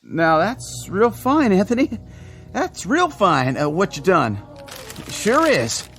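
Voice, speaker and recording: A nervous small-town delivery man. He stammers and placates at every turn, and his pitch swings widely. There is faint music behind him. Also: big pitch swings, slow, fairly bright tone, exaggerated voice. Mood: nervous, fawning. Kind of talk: audio drama acting.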